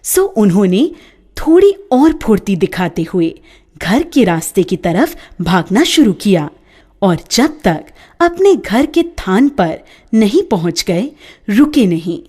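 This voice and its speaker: A woman narrating a children's story in a scripted storybook style, with an expressive, lilting delivery. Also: medium pitch, slow pace, slightly rough.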